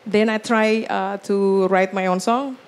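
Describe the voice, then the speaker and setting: A young woman with an Indonesian accent, a singing contestant talking shyly and haltingly on a big studio stage into a handheld mic. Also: medium pitch, fairly even intonation. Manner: shy, halting, giggly. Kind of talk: unscripted talk on stage.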